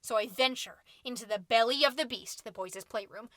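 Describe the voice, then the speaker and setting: A woman's voice: on the high side, brisk, fairly bright tone, dry recording, clean studio sound, close to an everyday voice. A woman playing a catty, indignant mom who hosts a podcast, delivering a sarcastic, melodramatic comedic monologue. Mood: conspiratorial, dramatic. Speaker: podcast host.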